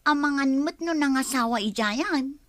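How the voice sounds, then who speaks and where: High-pitched inquisitive Ilocano village woman. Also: moderate pace, fairly bright tone, no room sound. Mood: curious, sceptical. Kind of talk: Ilocano radio comedy-drama acting.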